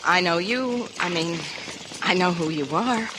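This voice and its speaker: A woman's voice: fairly low pitch, unhurried, lightly acted. An actress playing a wistful, self-conscious outcast frontier woman, hesitant and pleading, in a radio western. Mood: anxious, wistful. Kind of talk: old-time radio western acting. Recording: noisy old radio recording.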